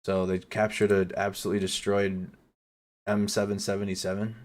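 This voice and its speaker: A man's voice: lowish voice, monotone, fairly quick. Casual man commentating war footage. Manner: casual, swearing, stumbles over foreign names. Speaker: war news streamer.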